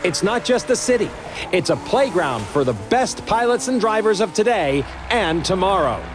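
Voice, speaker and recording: Confident, higher-voiced racing event boss. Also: male voice, sing-song, normal speed, music in the background. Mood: showy announcing. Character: confident slick male race event organizer from camera company. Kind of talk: video game cutscene acting.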